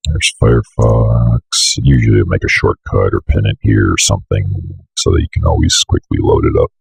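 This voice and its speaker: A young man with a bit of a cold giving a casual software walkthrough in an offhand, mumbling way. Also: rumbling low, huge pitch swings, normal speed, creaky, husky. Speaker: software tutorial presenter. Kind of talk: casual screen-recorded walkthrough. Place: indoor room at a computer desk.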